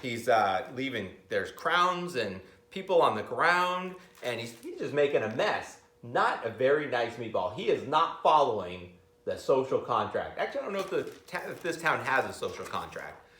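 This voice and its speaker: Animated middle-aged man reading aloud. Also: medium pitch. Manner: expressive, pausing to comment and ask listeners questions. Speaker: school reader of picture books to children. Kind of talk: picture book read-aloud with asides. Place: school library room. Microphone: camera a few metres away. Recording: roomy library, camera at a distance.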